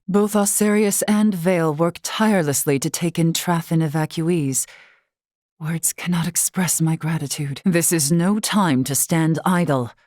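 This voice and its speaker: A solemn warrior noblewoman from a fantasy game, pitched low and recorded very dry. She speaks formally and with measure, in courtly, old-fashioned phrasing, dutiful and composed. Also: a little mellow, no room sound. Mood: solemn, measured, then firm, encouraging. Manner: formal, measured, archaic diction. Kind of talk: scripted video game character dialogue.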